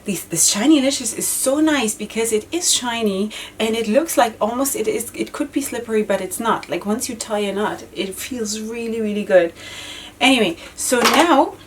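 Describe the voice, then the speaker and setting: A woman's voice: a little high, moderate pace, slight background noise. Chatty woman demonstrating head wraps, mic a little distant. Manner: chatty, repeats words, frequent fillers. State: losing her voice, occasional cough. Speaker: head-wrap tutorial host. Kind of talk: casual step-by-step tutorial. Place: indoor studio with floral backdrop.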